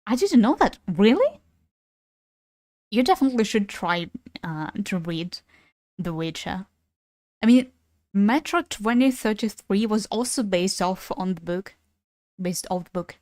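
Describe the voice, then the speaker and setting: A woman's voice: mid-range voice, sing-song, unhurried, long pauses, a little mellow, no echo. Young ukrainian-native woman chatting on stream, casual, swears a bit, dry close sound. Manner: chatty, swears casually, laughs between thoughts. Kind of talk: casual gaming stream chat.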